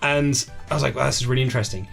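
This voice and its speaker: Fast-talking man telling anecdotes. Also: medium pitch, gravelly, fairly bright tone, soft background music. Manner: chatty, acts out remembered dialogue in his stories. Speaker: interview guest, author. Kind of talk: casual conversational anecdote telling.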